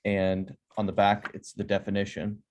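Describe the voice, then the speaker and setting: A man's voice: fairly low pitch, completely flat delivery, normal speed, darkish tone. A man in his thirties hosting a casual video call, explaining ideas in an upbeat, chatty way from a home office. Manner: upbeat, chatty, laughs often. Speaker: host. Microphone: over-ear headset on a video call.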